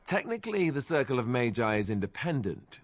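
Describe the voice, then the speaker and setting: A wry young warrior from a fantasy game, with a medium-pitched voice in a dry recording. He is good-natured and reluctant to lead, quick with a sardonic aside. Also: big pitch swings, dry recording. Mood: earnest, wry.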